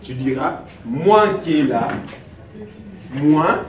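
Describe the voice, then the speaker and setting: Man, Congolese French accent, scolding and insistent, mid pitch, echoey hall, far mic, crowd noise. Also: animated, very slow, muffled recording. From Congo-Kinshasa. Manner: scolding, insistent, repeats questions. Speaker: comic teacher coaching for an exam. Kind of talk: comedy sketch. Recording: echoey room, far mic, loud audience.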